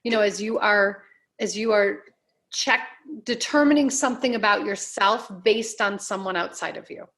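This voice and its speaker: A woman being interviewed as a life coach, giving advice in deliberate, emphatic phrases with measured repetition. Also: medium pitch, a bit bright.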